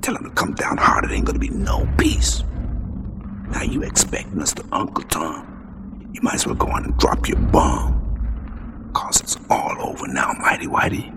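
A man's voice: rumbling low, huge pitch swings, normal speed, exaggerated voice. A man performing as an angry, defiant American protest poet, chanting theatrically in rhythm. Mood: defiant, menacing. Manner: theatrical, incantatory, swinging from whispers to shouts. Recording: noisy, long reverberant hall sound.

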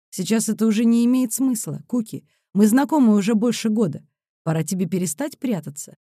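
A woman's voice: mid-range voice, mellow, no echo, clean studio sound, lightly acted. Woman voicing a laid-back athletic man in his thirties. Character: Mac, relaxed teasing sporty man of thirty-four.

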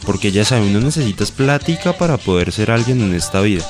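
An actor playing a cocky, street-smart young Colombian student in a radio soap opera. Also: male voice, on the low side, animated, fast talker, soft background music, close to an everyday voice. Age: young adult.

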